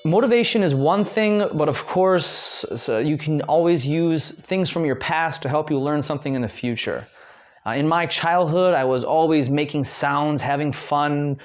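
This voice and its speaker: Brief: young American man, Detroit, relaxed teaching chat, full of fillers and restarts, a bit high-pitched. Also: normal speed. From Detroit, United States. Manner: chatty, many fillers and restarts. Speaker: guest language learner. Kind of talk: casual spoken lesson.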